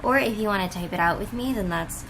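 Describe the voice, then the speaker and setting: A woman's voice: mid-range voice, fast talker, noisy background. A woman giving casual, chatty commentary as the creator of a reaction video. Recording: music behind the voice. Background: clear music.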